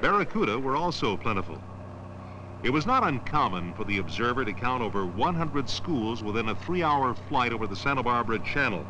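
A man reads scripted educational documentary narration in a measured, declarative way, in the style of around 1970. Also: medium pitch, very animated. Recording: noisy old educational film soundtrack.

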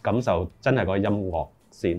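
Middle-aged man, Hong Kong accent, lowish voice, informal guest chatting with English words mixed in. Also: fairly quick, muffled recording, crowd noise. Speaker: lyricist, interview guest. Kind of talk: casual interview chat. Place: small room indoors, seated group.

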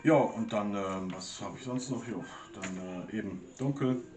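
A man in his forties hosts a casual painting talk from a small room at home, rambling along with plenty of fillers. His voice sits low. The sound is roomy, as if the camera stands some way off. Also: a little slow, distant mic, music in the background. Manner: chatty, rambling, with many fillers. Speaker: acrylic painting channel host.

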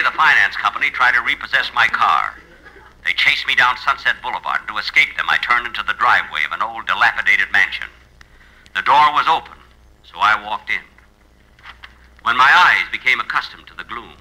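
A man playing a screenwriter who narrates his own story in deadpan, measured comic style, on a vintage radio recording. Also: fairly low pitch, heavily acted, rough recording. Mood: wry, deadpan.

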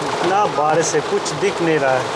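A man's voice: a little high, moderate pace, heavy background noise. A man casually narrating his road trip for a travel vlog, pointing out sights to viewers as he films on a phone. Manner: chatty, pointing things out to viewers. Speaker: travel vlogger. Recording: music playing underneath.

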